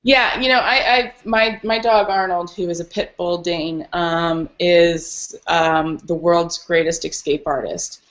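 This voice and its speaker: A woman's voice: on the low side, steady tone, unhurried, few pauses, fairly bright tone, dull audio. A woman speaking as a dog rescuer and podcast guest, telling stories casually and earnestly. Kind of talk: casual interview storytelling.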